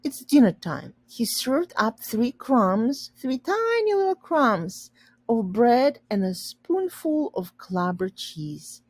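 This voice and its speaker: A woman teacher reading a storybook aloud, pausing to explain and rephrase words simply for learners. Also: fairly high pitch, big pitch swings, no echo.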